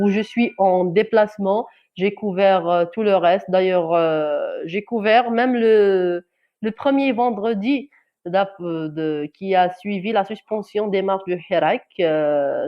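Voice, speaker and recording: A woman journalist from Algeria, a Kabyle speaker, giving a halting personal testimony in an interview. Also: fairly low pitch, highs cut off. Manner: halting, often searching for words.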